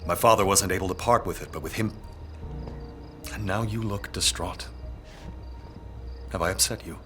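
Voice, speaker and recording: A man's voice: sits low, moderate pace, over music, close to an everyday voice. A man playing a brooding, dutiful aristocratic heir in a period audio drama, speaking formally and earnestly. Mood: brooding, resolved.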